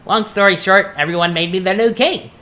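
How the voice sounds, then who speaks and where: A woman's voice: mid-range voice, a little fast, a bit bright, pitched above the performer's own voice, natural voice. A woman voicing an indignant, sarcastic male squirrel king in a story, scoffing and exclaiming. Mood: scornful.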